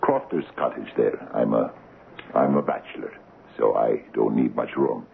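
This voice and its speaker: An actor playing a folksy Scottish house agent, a hesitant, rambling rural bachelor in a 1970s radio drama. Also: male voice, very deep voice, moderate pace, creaky, full character voice. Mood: affable, chatty. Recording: muffled, lo-fi old radio broadcast, faint noise.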